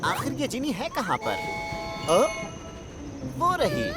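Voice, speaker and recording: A woman voicing a mischievous, boastful young male diesel train in a children's cartoon, excitable and scheming. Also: high voice, slow, full character voice. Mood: puzzled. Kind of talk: animated children's cartoon dub. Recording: loud music behind the voice.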